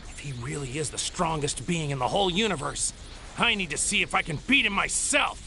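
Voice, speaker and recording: A man's voice: a little high, animated, a little fast, vocal fry, pushed and bright, a little hiss or hum, exaggerated voice. A man playing a proud, righteous young warrior hero in a dramatic anime video game, with intense delivery. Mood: determined. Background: dramatic music.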